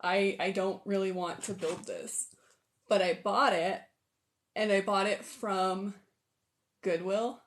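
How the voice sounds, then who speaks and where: A hoarse, tired-sounding woman hosting a casual livestream, rambling and thinking aloud as she sets up. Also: medium pitch, steady tone, takes it slowly, pauses often. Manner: rambling, self-deprecating, thinks aloud while sorting pieces. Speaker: livestream host. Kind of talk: casual livestream chat while setting up a build.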